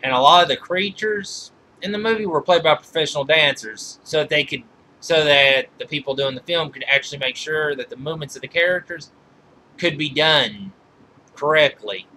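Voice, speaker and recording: Male reviewer, very sharp bright voice, informal countdown commentary, stop-start phrasing with rewordings, faint noise behind. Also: a little high, low-quality recording. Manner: halting, often backtracking and rephrasing mid-sentence. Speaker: video reviewer counting down a list of movies.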